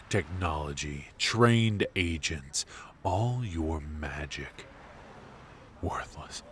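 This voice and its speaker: A man playing a ruthless, teasing mafia boss in a noir ASMR roleplay, smug and flirtatious, with drawn-out pauses. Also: extremely low pitch, slight background noise, heavily acted. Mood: smug, mocking.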